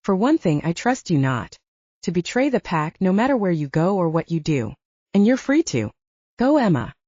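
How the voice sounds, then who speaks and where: A woman reading the lines of a calm, earnest male werewolf alpha in an audiobook. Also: on the low side, normal speed, no echo, highs cut off.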